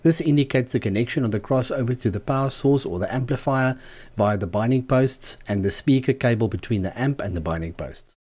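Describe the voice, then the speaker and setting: A man narrating a scripted how-to tutorial as the channel's host, in a measured, explanatory manner. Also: medium pitch, darkish tone, slightly rough. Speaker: host of a DIY loudspeaker channel.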